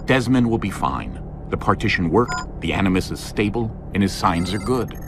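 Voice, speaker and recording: An actor playing an assured, reassuring scientist in a video game, speaking in clipped statements. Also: male voice, low voice, animated, normal speed, background noise. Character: confident, reassuring male scientist. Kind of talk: video game cinematic dialogue.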